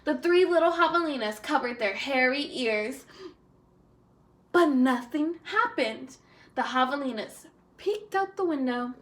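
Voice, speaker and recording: A woman teacher reading a children's story aloud expressively, deliberate and simple for young listeners. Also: sits high, animated, a bit bright.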